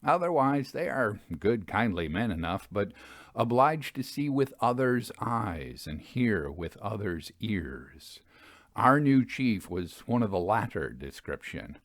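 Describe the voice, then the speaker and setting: A man narrating in a literary storytelling style, with measured, deliberate phrasing and emphatic stresses. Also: on the low side, big pitch swings, dry recording, studio quality. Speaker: narrator reading ghost stories aloud.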